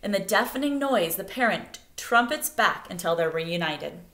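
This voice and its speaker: A woman reads a nature picture book aloud as expressive narration meant for children. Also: mid-range voice, normal speed. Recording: echoey room.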